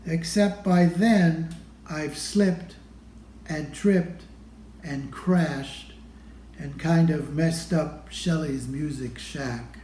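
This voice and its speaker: A man reading a children's picture book aloud expressively, with deliberate phrasing and pauses. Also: a little high, mellow, roomy sound, slight background noise. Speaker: storybook reader.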